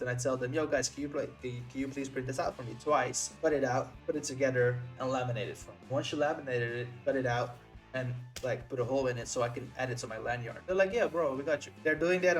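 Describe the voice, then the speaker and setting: Young man from the Netherlands, rapid storytelling vlogger, mid-pitched voice, acts out the other people's lines, faint music underneath. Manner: excitable, acts out friends' lines with swings in pitch. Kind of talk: animated storytime.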